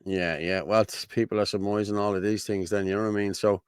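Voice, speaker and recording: A man's voice: on the low side, fairly even intonation, fast talker, clean tone, no echo, clean studio sound. An Irish man co-hosting a casual livestream, rambling and repeating himself as he chats and reads out viewer comments. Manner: rambling, repeats "you know what I mean". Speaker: co-host.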